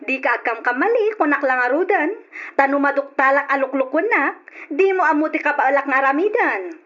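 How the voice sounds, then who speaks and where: A woman's voice: high-pitched, lively intonation, brisk, fairly bright tone. An actress playing a scorned, reproachful mistress confronting her cheating lover in an Ilocano radio drama, accusing and insistent. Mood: suspicious, stern. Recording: dull old radio drama recording, noisy.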